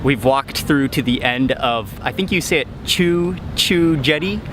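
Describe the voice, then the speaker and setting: A man casually narrating a travel vlog while walking outdoors, relaxed and chatty with fillers. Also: mid-range voice, measured pace. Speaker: travel vlogger. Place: outdoors on city streets. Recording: music bed and traffic behind.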